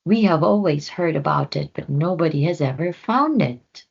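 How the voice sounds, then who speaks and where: A calm wise owl, voiced by a woman. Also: sits low, moderate pace, creaky, breathy edge, lo-fi sound, full character voice. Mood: knowing.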